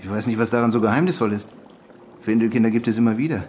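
An actor in a German radio drama playing a gentle, clever half-ape scientist with a hesitant, brooding manner. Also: male voice, on the low side, racing, a little hiss or hum, lightly acted. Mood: guarded, indignant.

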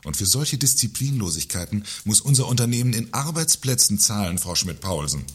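A man's voice: fairly low pitch, big pitch swings, brisk, husky. An actor playing a pompous, condescending male company director in a satirical radio play, speaking in formal, sarcastic phrases. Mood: indignant. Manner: sarcastic, haughty, formal wording.

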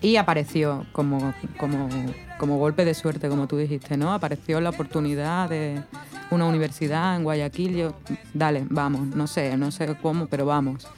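A woman's voice: low-pitched, moderate pace, faint music behind. Chatty Spanish woman from Cádiz. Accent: mixed Andalusian Spanish. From Spain (Cádiz). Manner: chatty, animated storytelling with frequent "¿no?". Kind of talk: casual conversation.

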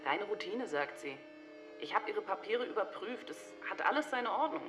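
An actress playing a dutiful, businesslike office secretary in a German radio drama. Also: female voice, medium pitch, big pitch swings, moderate pace, fairly bright tone. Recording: distant from mic, music behind.

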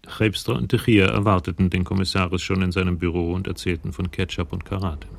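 A man narrating a radio crime play from a script in a measured, literary, matter-of-fact way. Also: low voice, little pitch movement, creaky. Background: music.

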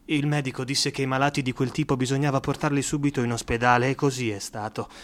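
A man's voice: mid-range voice, level tone, fast talker, natural voice. A man acting in an Italian radio drama as a loyal, distressed young friend who recounts a tragedy with earnest emotion. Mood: subdued grief.

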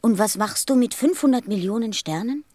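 A woman's voice: a little high, expressive, a little fast, darkish tone, no room sound, clean studio sound. A woman playing a curious, earnest young boy in a radio play, innocent and persistent in manner. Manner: innocent, insistent questioning.

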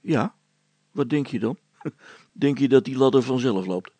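Inventor for a storybook, played by a male reader: good-natured but wary, husky, plain and hesitant in speech. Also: mid-range voice, a little slow, warm tone, no room sound. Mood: relieved, teasing.

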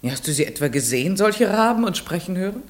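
Village schoolteacher, young woman, measured and gently probing; husky mid voice, dry studio. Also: on the low side, big pitch swings, dry recording. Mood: questioning. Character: young unmarried female village teacher, strict but kind. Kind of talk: 1950s radio drama acting with first-person narration.

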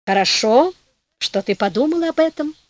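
Storybook fairy of the lake, young, friendly and gentle, high and bright, read by a woman. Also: expressive, moderate pace, no echo. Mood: tender. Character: young beautiful lake fairy, warm and kind.

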